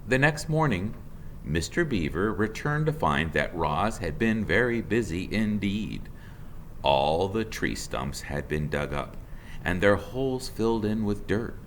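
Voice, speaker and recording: A man's voice: low-pitched, very animated, unhurried, slight background noise. A man narrating a children's storybook aloud in the role of a teacher.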